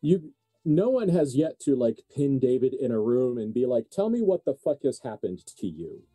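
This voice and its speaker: A man's voice: medium pitch, big pitch swings, moderate pace, warm tone, dry recording, soft background music, poor audio. A man running a tabletop roleplay game as game master, narrating in a casual, conversational way.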